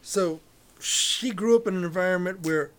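A man's voice: high voice, moderate pace, fairly bright tone. A man co-hosting a podcast, talking in an encouraging, reflective, casual way. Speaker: podcast co-host. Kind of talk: casual interview chat.

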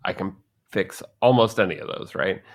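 A man's voice: sits low, normal speed. A man in his thirties co-hosting a casual podcast chat, rambling and joking, often acting out the people he quotes. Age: 30s. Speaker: podcast co-host.

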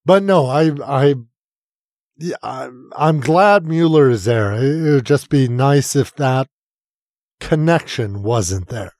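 Slow-talking exasperated podcast man. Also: medium pitch, animated, darkish tone, dry recording, studio quality. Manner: swears freely, exasperated, trails off and restarts. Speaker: podcast co-host. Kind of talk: casual political chat.

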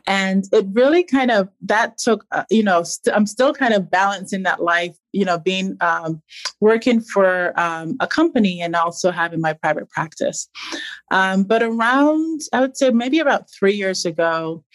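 A woman's voice: mid-range voice, normal speed, no room sound. A woman from Barbados in her forties, a psychologist and founder, answering interview questions candidly with fillers and restarts.

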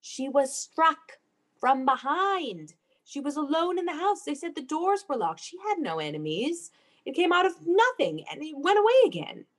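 Actress as a high-pitched, unhinged obsessive young woman. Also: very animated, moderate pace. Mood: haunted, dread. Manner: theatrical, breathless, intense. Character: obsessive, unhinged young woman convinced of her dark power. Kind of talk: melodramatic old-time radio play acting.

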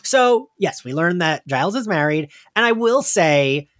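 A man's voice: a little high, expressive, a little slow, a bit bright. A man co-hosting a podcast, talking casually as a fan and thinking aloud in hesitant bursts. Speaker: podcast co-host.

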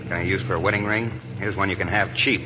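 An actor playing a bitter, stubborn young Army officer who has been jilted, in 1940s radio drama style. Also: male voice, deep voice, steady tone, fast talker, close to an everyday voice. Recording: noisy 1940s radio, engine hum behind.

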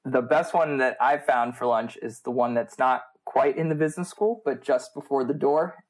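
A man with an American accent, a student guest answering interview questions in a casual, conversational style. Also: mid-range voice. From United States. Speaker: MBA student, podcast guest.

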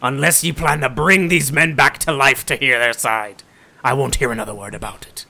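A huge pirate captain with a gravelly, gruff drawl, put on by a game master in a tabletop game. His voice is bright and cutting, and every threat lands slow and heavy. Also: mid-range voice, steady tone. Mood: menacing.

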